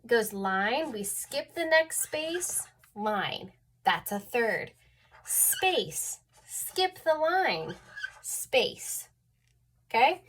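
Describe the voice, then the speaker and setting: A woman's voice: on the high side, big pitch swings, drawn-out words, a bit bright. A woman teaching an online music theory lesson, a little stuffed up, patiently explaining and often checking in with "okay?".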